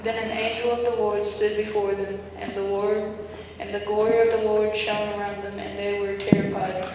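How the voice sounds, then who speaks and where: Flat-voiced woman reading scripture. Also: medium pitch, normal speed, heavy background noise. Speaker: scripture reader. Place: large church sanctuary. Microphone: far camcorder mic. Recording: echoey amateur camcorder audio from far off.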